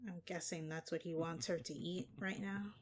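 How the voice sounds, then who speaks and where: A woman with a lowish, even voice gives casual reaction commentary as host. Some background noise sits behind her. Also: moderate pace.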